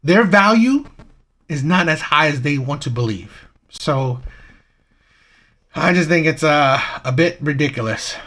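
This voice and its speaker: A man's voice: on the high side, expressive, a little slow, a bit bright. A man hosting a reaction channel, giving opinionated commentary with rhetorical questions and frequent "right?" checks.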